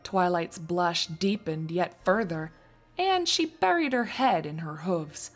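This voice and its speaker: A woman's voice: fairly low pitch, lively intonation, slow, faint music behind. A woman narrating a scripted fan fiction story as a storyteller.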